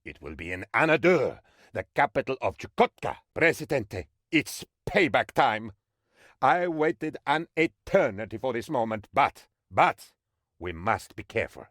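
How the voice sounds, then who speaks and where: Comic game role: sycophantic male aide to a tropical strongman. Exaggerated, highly animated, mid-pitched, close dry studio sound. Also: unhurried, no echo. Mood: conspiratorial, dramatic. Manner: theatrical, exaggerated, fawning. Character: excitable, sycophantic male political aide to an island dictator. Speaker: adviser character briefing the player. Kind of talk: comic video game character voice-over.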